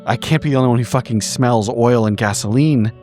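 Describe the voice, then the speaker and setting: A man with a mid-pitched voice improvises a roleplay scene. He speaks in halting, repeated bursts peppered with profanity, and music plays clearly underneath. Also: a little mellow. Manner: halting, repetitive, profanity-laced speech. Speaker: tabletop roleplay podcast player.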